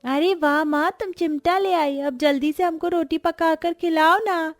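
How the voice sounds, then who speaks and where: Cartoon casting: hungry small child played by a woman, excited and pleading, voice pushed high, dry sound. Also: a little slow, no room sound. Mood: eager. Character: eager, wide-eyed, hungry little children, brother and sister. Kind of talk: animated cartoon story acting.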